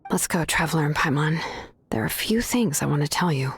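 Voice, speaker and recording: A woman voicing a calm, bookish craftswoman in a video game, explaining things in a measured, scripted way. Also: sits low, a little hiss or hum. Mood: matter-of-fact. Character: calm, bookish adult craftswoman who forges ancient names.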